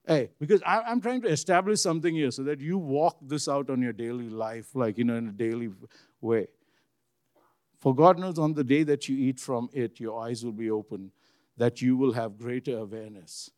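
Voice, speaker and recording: A man with a deep, bassy voice preaching an informal sermon to a room, talking conversationally, repeating himself and asking his listeners questions. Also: dark tone, no room sound, clean studio sound. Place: church room with congregation.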